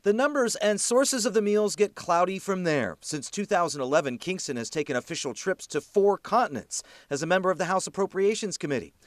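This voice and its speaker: A high-voiced man reads an investigative TV news script with lively, swooping intonation. He enunciates clearly and leans on key words. The recording is dry and close. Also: a little fast, few pauses, dry recording. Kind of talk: scripted news report narration.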